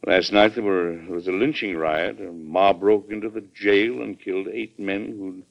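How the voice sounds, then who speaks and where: Vintage radio President: older American, low voice, grave, easily indignant. Muffled lo-fi sound. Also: male voice, fairly even intonation, moderate pace, vocal fry. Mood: shocked, grave. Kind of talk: classic American radio drama acting. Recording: dull, lo-fi vintage radio recording.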